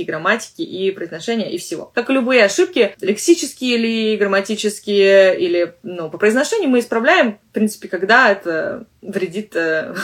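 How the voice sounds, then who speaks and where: Fast-talking woman teacher podcasting. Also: medium pitch. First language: Russian. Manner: chatty, full of filler words and restarts. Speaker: language teacher and podcast host. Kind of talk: casual podcast monologue.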